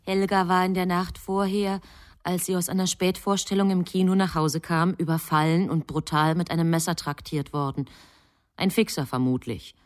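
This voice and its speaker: A woman narrating a radio drama in a measured, sober manner. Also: fairly low pitch.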